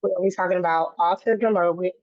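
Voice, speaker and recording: A woman's voice: on the low side, fairly even intonation, brisk, dry recording. A woman guest talking earnestly in a casual interview, restarting and repeating phrases as she goes. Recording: dry, tinny phone-like line.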